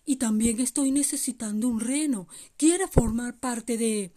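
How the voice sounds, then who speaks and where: A woman acts out a kindly old male Santa in a children's tale. The voice is high for the part. The manner is jolly, hearty and reassuring. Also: a little slow, background noise, lightly acted. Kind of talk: children's storytelling character voice.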